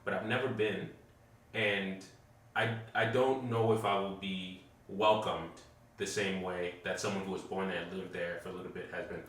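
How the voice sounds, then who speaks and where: An American-born man talking in a measured, reflective way in a casual podcast conversation. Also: sits low, steady tone, a bit bright, recorded from a distance. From United States. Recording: echoey room, off mic.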